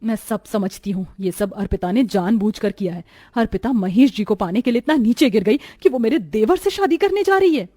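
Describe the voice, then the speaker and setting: A high, melodramatic voice of a jealous young wife acted by a woman in a cartoon family drama. Every phrase is pressed hard with suspicion and fiery accusation. The sound is dry and close, with no room around it. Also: lively intonation, moderate pace, few pauses, dark tone, no room sound. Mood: alarmed, scheming. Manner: emphatic, melodramatic.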